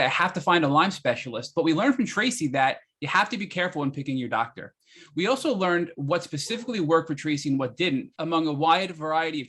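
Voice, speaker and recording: An American man co-hosts a podcast with a prepared, summarizing delivery. He talks quickly in a husky voice that runs a little higher than average. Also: fairly bright tone. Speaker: podcast co-host.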